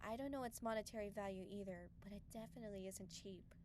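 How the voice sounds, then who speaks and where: A woman playing a virtuous young woman, gentle but frank, in an earnest anime dub style. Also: medium pitch, fast talker, a little hiss or hum, close to an everyday voice. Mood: thoughtful.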